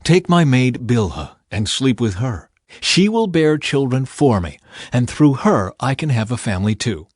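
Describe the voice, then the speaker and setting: A man's voice: mid-range voice, sing-song, measured pace, no echo, studio quality. A man voicing a desperate, jealous woman of ancient times in a dramatic Bible audiobook reading. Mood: pleading.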